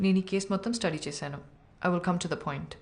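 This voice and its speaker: An actress playing a sharp, blunt young woman lawyer who talks briskly and scolds freely. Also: fairly low pitch. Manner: brisk, scolding, mixing English into Telugu. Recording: film soundtrack with clear music behind.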